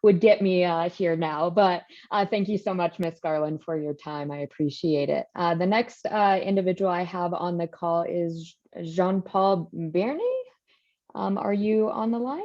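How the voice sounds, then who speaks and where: A woman running a city meeting over a video call, calling each speaker in a friendly, brisk tone with small chatty asides. Her voice sits fairly low, and the call audio is dull and lo-fi. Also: a little mellow. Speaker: meeting chair. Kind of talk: chairing a public meeting.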